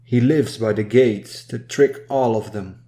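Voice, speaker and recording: A man reading a dark narrative poem in a solemn, measured storytelling manner. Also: mid-range voice. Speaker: poem reader. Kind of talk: solemn scripted poetry recitation.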